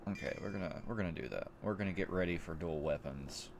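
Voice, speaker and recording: Male let's-play host, very low voice, stuffy nose, rambling chatter, faint noise behind. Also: fast, vocal fry. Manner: rambling, repeats words as he thinks. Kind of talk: casual gameplay commentary.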